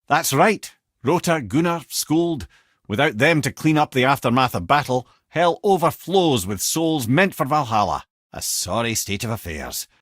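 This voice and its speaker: A man's voice: mid-range voice, fairly bright tone, slightly rough, no echo, studio quality. A man playing a witty, learned old talking head in a video game, an eloquent storyteller and loyal companion with a Scottish accent. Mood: explaining. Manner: wry, eloquent, colloquial storyteller. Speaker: companion and lore-teller.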